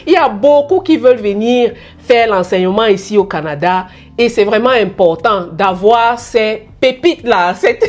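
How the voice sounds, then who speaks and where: A woman hosting an interview, laughing often and asking many questions. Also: on the high side. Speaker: host. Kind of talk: casual interview.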